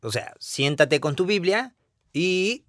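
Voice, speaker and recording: A man co-hosting a conversational podcast, chatting in a self-deprecating, animated way. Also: high-pitched, slow, no echo, clean studio sound. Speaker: podcast co-host.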